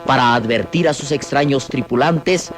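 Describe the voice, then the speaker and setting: Male narrator, mid-pitched, solemn and suspenseful delivery for a vintage radio serial, music underneath. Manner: solemn, measured phrasing with dramatic pauses. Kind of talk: scripted dramatic radio narration. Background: dramatic music.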